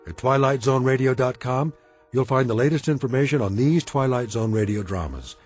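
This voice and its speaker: A man delivering scripted radio narration and announcements in a deliberate, authoritative way. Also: mid-range voice, a little mellow, husky.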